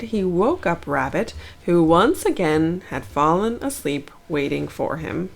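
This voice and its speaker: Animated, unhurried woman reading a storybook. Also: on the low side. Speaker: storybook narrator, channel host. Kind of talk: expressive children's read-aloud narration.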